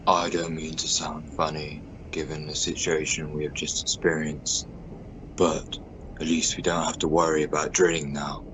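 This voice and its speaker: A man playing a calm, practical astronaut in an amateur radio play, speaking in a matter-of-fact way. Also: very deep voice, moderate pace. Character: calm, practical male astronaut on stranded crew. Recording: dull home-made recording, clear background noise.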